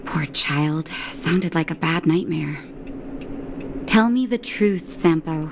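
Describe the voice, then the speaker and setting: A woman's voice: sits low, slow, slight background noise. An actress playing a frank, caring, no-nonsense woman in a video game, casual and direct. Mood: concerned, probing. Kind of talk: English game voice acting.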